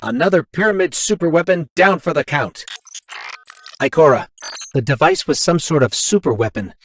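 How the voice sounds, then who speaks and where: A man playing an earnest, plucky scout in a video game, briskly reporting to command. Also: fairly high pitch, animated, fairly bright tone, a little hiss or hum. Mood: relieved. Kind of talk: scripted video game voice acting.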